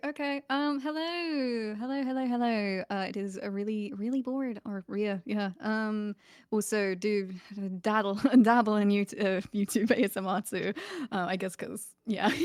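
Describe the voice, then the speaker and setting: A woman's voice: on the high side, a little mellow, no room sound, clean studio sound. A woman in casual, rambling chat as a guest on a stream, with a playful manner. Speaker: stream guest, ASMR creator.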